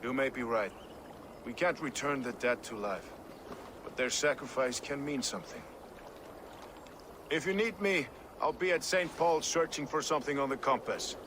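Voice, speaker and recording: An actor playing a rough, sardonic, weary medieval man in a video game, with a wry, blunt and expressive delivery. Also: medium pitch, moderate pace, pauses often, background noise, close to an everyday voice. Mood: wry, resigned. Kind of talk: dramatic video game dialogue.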